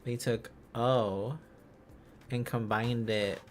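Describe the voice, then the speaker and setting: Male streamer, mid-range voice, unhurried and rambling, playful informal teaching with light background music. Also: medium pitch, fairly even intonation. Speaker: live streamer. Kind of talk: casual live-stream lesson, thinking aloud.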